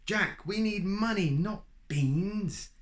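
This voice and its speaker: A man voicing a scolding, frantic mother who shrieks in a storybook reading. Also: slow. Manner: high shrieking cries.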